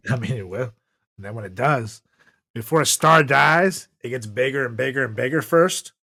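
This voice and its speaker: A man's voice: mid-range voice, expressive, normal speed, pushed and bright, slightly rough. A man hosting a podcast in casual, joking chat, rambling loosely and swearing freely. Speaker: podcast host.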